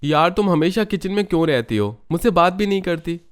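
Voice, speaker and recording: Man acting an animated, exasperated young husband. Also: high voice, fairly quick. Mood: exasperated, concerned. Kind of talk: Hindi family moral-story cartoon acting.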